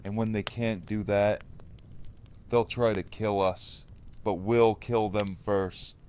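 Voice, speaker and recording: Hard, uncompromising leader played by a man, lowish and very measured, stern delivery for radio play. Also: fairly even intonation, a little mellow, slight background noise. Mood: grim warning. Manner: deliberate, stern. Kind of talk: audio drama acting.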